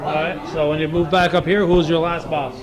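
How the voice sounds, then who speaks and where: A man's voice: on the high side, level tone, a little fast, highs cut off. A man conducting a casual interview with short, folksy questions and prompts. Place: indoor social gathering. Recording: busy room with crowd chatter.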